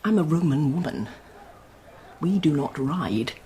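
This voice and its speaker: A woman's voice: low voice, lively intonation, a little slow, mellow, a little hiss or hum. An actress playing a proud, intelligent, outspoken young Roman noblewoman in a radio drama, poised and pointed. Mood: proud, guarded.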